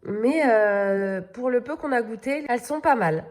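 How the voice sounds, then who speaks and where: A woman's voice: fairly high pitch, lively intonation, a little slow, a little mellow, slight background noise. A middle-aged woman with a cold, chatting casually through a home vlog with many hesitations. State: has a cold. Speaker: vlogger. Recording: echoey room.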